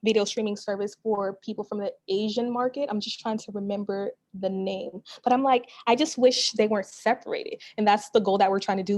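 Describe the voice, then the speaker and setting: A woman talks at an ordinary, mid-range pitch in a loose, chatty way. She sounds encouraging and keeps checking in with her listener. The sound comes through a video call. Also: a little mellow. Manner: chatty, encouraging, frequent "you know what I mean". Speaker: guest speaker, startup co-founder. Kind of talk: casual conversational talk.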